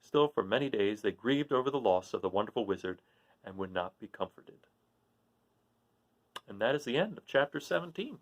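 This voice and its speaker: A man's voice: medium pitch, animated, moderate pace, pauses often, rough recording. A man hosting a read-aloud series, narrating a storybook in the role of storyteller. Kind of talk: storybook narration with informal asides.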